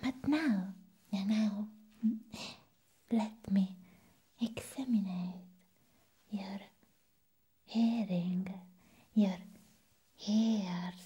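Woman playing a slow, whispering, eccentric vampire nurse. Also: mid-range voice, mellow, exaggerated voice. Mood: sly, eager. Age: young adult. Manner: breathy whispering, halting, giggly. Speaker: ASMR roleplay performer. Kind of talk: whispered ASMR roleplay. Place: small room set as a clinic. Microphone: close microphone.

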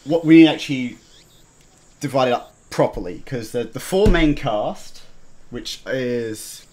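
A man's voice: medium pitch, expressive, takes it slowly, a little mellow. A man in casual, opinionated gaming commentary, chatting with a co-host and swearing freely. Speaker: let's play co-host.

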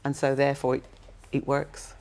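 Woman, plenty of vocal fry, conversational panel reviewer. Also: a bass voice, little pitch movement, moderate pace, a little mellow. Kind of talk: informal spoken book review.

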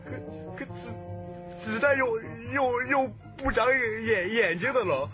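A man's voice: very high voice, a little slow. An actor playing a frightened, timid young male informant who stammers nervously in a Chinese radio crime drama. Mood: frightened, stammering. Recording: muffled band-limited audio with music behind.

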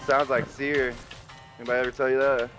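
A man's voice: medium pitch, little pitch movement, fairly quick, music in the background. A man streaming a shooter game, making terse callouts to teammates and joking between fights. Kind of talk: casual gaming voice chat.

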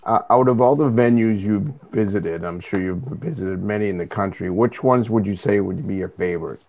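A man's voice: fairly low pitch, moderate pace, darkish tone. A man co-hosting an interview over a video call, asking plain direct questions. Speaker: podcast co-host.

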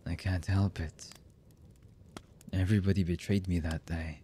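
An actor playing a brooding, distrustful young anime villain in a boyfriend ASMR roleplay, with a husky, breathy voice and halting, hushed delivery. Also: male voice, low voice, little pitch movement, slight background noise. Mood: bitter, remorseful. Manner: slow, halting, hushed delivery with long pauses.

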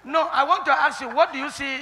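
A man with a Nigerian accent leading a deliverance session as a prophet, firing repeated questions and breaking into shouted decrees in a large church hall on a handheld mic. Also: very high-pitched, brisk. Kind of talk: deliverance prayer ministration. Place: large church hall indoors with a seated congregation. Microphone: handheld microphone. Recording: long reverb of a church hall.

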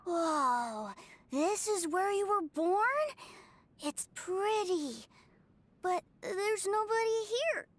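A squeaky little fairy companion. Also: female voice, lively intonation, slow pace, dry recording, full character voice. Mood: curious. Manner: chirpy, inquisitive. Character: tiny floating fairy girl companion, curious. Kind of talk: game voice acting.

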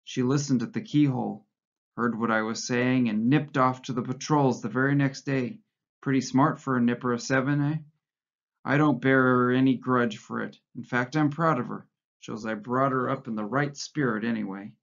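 A man's voice: mid-range voice, fairly even intonation, moderate pace, vocal fry, natural voice. A man voicing a frightened, fawning middle-aged male prisoner who pleads eagerly and nearly blubbers. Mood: doleful pride. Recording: muffled lo-fi recording.

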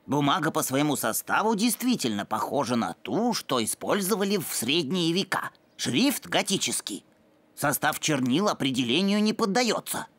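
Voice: medium pitch, sing-song, moderate pace, a bit bright. An actor playing a pedantic, bookish brainy boy in a children's cartoon, speaking precisely like a young scientist. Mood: matter-of-fact. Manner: precise, scientific, matter-of-fact. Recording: music behind the dialogue.

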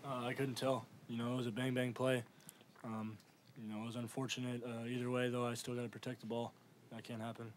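A man's voice: mid-range voice, level tone, brisk, a little hiss or hum. A young man, a college quarterback, answering reporters after a game in a subdued, self-critical way, with lots of fillers. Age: young adult. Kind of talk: postgame press conference answers.

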